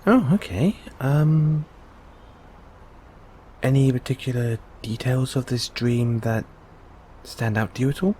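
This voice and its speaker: A man playing a gentle, caring android owner in an intimate one-sided audio roleplay, coaxing and inquisitive, with long pauses. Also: medium pitch, a little hiss or hum, natural voice. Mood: curious.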